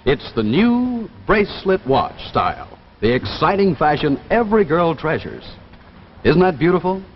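An American man in his thirties delivering a polished, emphatic 1950s television sales pitch as a commercial announcer. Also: very animated, moderate pace. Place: television studio. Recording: dull vintage TV soundtrack, reverberant, faint noise.

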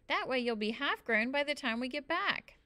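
Woman acting small girl, bossy, excitable, chirpy, high pitch, animated, children's storybook. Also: moderate pace, clean tone. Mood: bossy, excited. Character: bossy, excitable preschool girl, little sister.

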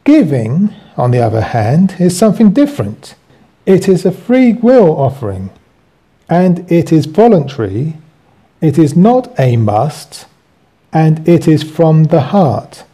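A man reading a scripted religious lesson aloud as a teacher, in measured phrases. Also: fairly high pitch, big pitch swings, warm tone, husky. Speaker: Bible teacher.

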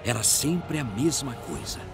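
A man's voice: mid-range voice, expressive, measured pace. A man with a Brazilian accent giving dramatic scripted narration of a fantasy audio drama. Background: music.

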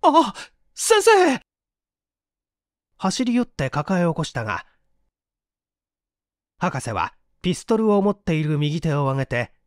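A man's voice: a little high, sing-song, normal speed, long pauses, dry recording, studio quality. A man reading a mystery story as dramatic scripted narration. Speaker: audiobook reader, stage actor.

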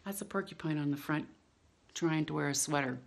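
A middle-aged woman hosting storytime, reading to children in an expressive, drawn-out way with playful emphasis. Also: low voice, creaky. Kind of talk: reading a picture book aloud to children. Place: indoors in a home room.

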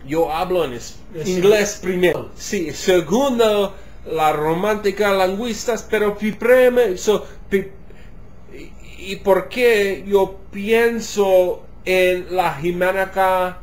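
A man in his thirties, a native English speaker, gives an interview in halting Spanish and English, with many fillers and restarts, gesturing as he talks. He is recorded on a webcam in his home kitchen. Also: high-pitched. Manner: gestures animatedly while talking. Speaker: interviewee, musicology professor. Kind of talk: casual on-camera interview. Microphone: webcam at desk distance.

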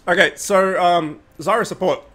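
A young man hosting a casual gaming video, sounding nasal from a cold, chatty and relaxed with lots of fillers. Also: high-pitched, moderate pace. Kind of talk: casual gameplay commentary.

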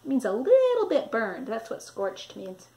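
A middle-aged woman narrating a children's storybook expressively, with dramatic, deliberate emphasis on words. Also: on the high side, huge pitch swings, creaky, a little mellow. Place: small indoor room with wall displays.

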